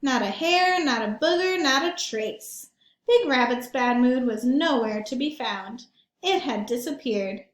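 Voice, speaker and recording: A woman reading a picture book aloud to young children in an expressive, emphatic way, pausing to put questions to her listeners. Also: high voice, echoey room.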